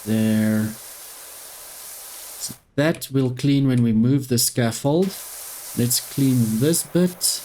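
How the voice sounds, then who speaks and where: Man, Afrikaans speaker, unhurried, mid pitch, casual game commentary. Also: slight background noise, poor audio. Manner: relaxed, talking to himself while playing. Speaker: gaming YouTuber.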